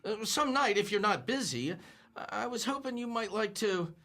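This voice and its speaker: A man's voice: high-pitched, expressive, moderate pace, bright, forceful tone, heavily acted. A young man playing a bashful, timid store clerk in a radio play, stammering and repeating his words. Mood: nervous. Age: young adult. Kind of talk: 1940s radio acting.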